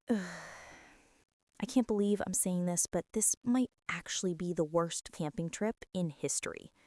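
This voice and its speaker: Woman playing a teenage girl bully, ASMR roleplay, sarcastic, huffy, defensive, unhurried, dry close mic. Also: a little high, dark tone, dry recording. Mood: flustered, defensive, then stubborn. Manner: sulky, sarcastic, huffy, with sighs and whispers. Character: prickly, defensive teenage school bully girl hiding her softness. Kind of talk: intimate girlfriend ASMR roleplay.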